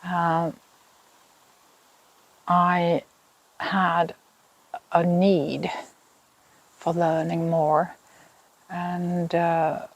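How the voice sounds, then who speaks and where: A woman in her fifties from Finland, a native Swedish speaker with a Finland-Swedish accent, hosting a knitting podcast in a casual, thoughtful way and pausing often to find her words. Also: fairly low pitch, little pitch movement, rough recording. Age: 50s. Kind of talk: casual chat to camera. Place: outdoors in a home garden.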